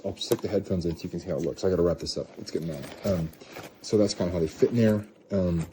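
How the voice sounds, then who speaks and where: A low-voiced man talks through a casual review in a very soft-edged, dark tone. He rambles and questions his own wording, with some light background noise behind him. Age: adult parent of a young child. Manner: rambling, self-questioning, hedging. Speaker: product reviewer. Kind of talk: casual off-the-cuff product review.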